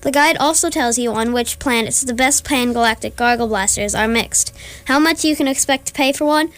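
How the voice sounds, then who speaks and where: A matter-of-fact guidebook narrator performed by a woman. Her voice is on the high side, and she delivers scripted radio-play narration in a measured, explanatory way. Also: a bit bright, close to an everyday voice.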